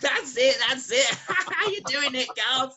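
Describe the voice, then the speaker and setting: A man playing a cocky, boisterous young gentleman in a Victorian farce reading, hearty and egging others on. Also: very high-pitched, animated, slow pace, a little hiss or hum, full character voice. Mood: rowdy glee. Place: recorded remotely at home over video call.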